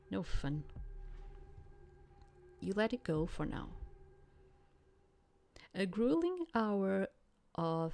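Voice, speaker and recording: A woman narrates a dark story game in a low voice. Her delivery is slow and dramatic, reading scripted second-person text.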